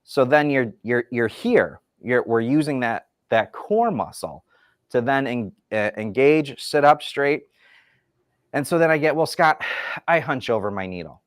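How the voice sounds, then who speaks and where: A man's voice: mid-range voice, lively intonation, a little slow, no echo, clean studio sound. A man giving an enthusiastic, explanatory product demonstration in a casual chat, with a folksy manner. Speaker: furniture company representative.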